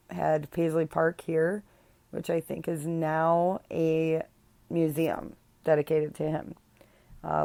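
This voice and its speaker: Slow-talking chatty woman podcaster. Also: on the low side, darkish tone, no echo. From Illinois. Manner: chatty and blunt, many ums, sarcastic asides. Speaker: podcast host. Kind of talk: casual solo podcast chat.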